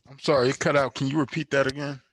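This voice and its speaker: A man's voice: mid-range voice, little pitch movement, quick pace. A man on a remote call giving informal thank-you remarks as a panel speaker. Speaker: monologue storyteller on a panel.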